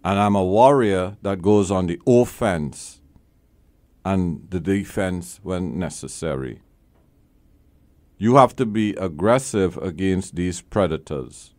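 Lawyer guy hosting his own advice show, low voice, dry studio sound, hammers his points home. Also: no room sound. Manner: emphatic, blunt, repeats key phrases. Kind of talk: call-in legal advice show.

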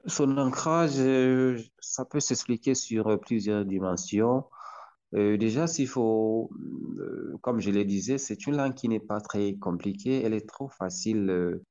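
A man's voice: on the low side, a little slow, warm tone, muffled recording. A man whose first language is Hausa, answering interview questions with many hesitations. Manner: hesitant, many fillers and restarts. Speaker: linguist guest.

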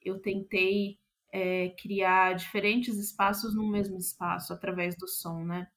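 A woman's voice: medium pitch, flat delivery, a little slow, fairly bright tone. Reflective Brazilian woman in casual interview. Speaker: playwright and interview guest. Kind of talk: casual interview talk about own writing process.

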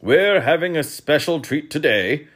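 A man's voice: a little high, expressive, unhurried, fairly bright tone, breathy edge, voice pushed up, exaggerated voice. A man voicing a pompous, irritable storybook king with a commanding, snappish manner. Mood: grand, disapproving.

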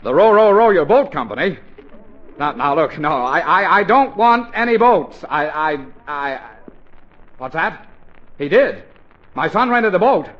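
A man playing an excitable middle-aged American father who stammers and sputters, in 1940s radio comedy style. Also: a little high, measured pace. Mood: flustered. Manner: stammering, sputtering, repeats words. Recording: dull, lo-fi 1940s radio recording.

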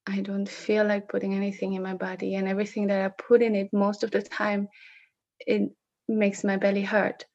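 A woman from the Netherlands with a Dutch accent, sharing personal reflections on a video call in a slow, searching way with frequent restarts. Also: mid-range voice, completely flat delivery, a little mellow, highs cut off. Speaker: session facilitator.

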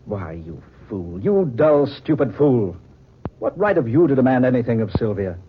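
A man's voice: medium pitch, big pitch swings, moderate pace, lightly acted. Guy acting a slick oily villain cheating with someone's wife, old radio drama, dull noisy recording. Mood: scornful. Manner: oily, mocking. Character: suave, taunting, cold-blooded male adulterer with a gun.

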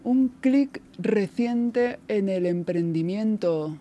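A chatty woman from Spain speaks with a neutral Spanish accent. She is a guest in a casual radio conversation and tells her stories in an animated way. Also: medium pitch, slow.

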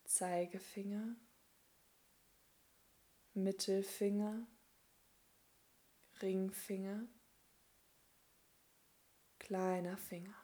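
A woman leading a guided yoga relaxation as instructor, speaking calmly and soothingly in short phrases with long pauses. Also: medium pitch.

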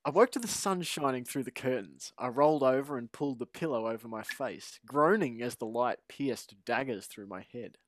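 A man's voice: medium pitch, normal speed, few pauses, dry recording. A man co-hosting a sports podcast, chatting playfully and reading a story aloud. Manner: playful, laughs often. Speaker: podcast co-host.

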